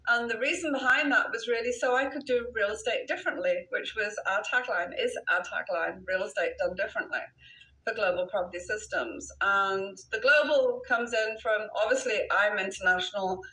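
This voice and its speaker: A woman's voice: mid-range voice, normal speed, bright, forceful tone, roomy sound, poor audio. An older woman from Wales with an English-sounding British accent, a real estate broker answering interview questions in a self-assured, chatty way and laughing often.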